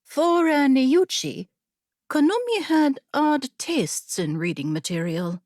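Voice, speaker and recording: A woman narrates fiction with a highly varied pitch and an unhurried pace. Her storytelling is measured and expressive, in a very dry recording. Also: no room sound. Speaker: audiobook narrator.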